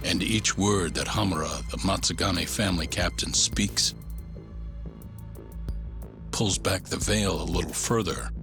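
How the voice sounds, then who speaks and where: An actor narrating a dramatic video game recap as a grave, ominous storyteller with a measured, portentous delivery. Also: male voice, low-pitched. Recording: music clearly behind narration. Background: background music.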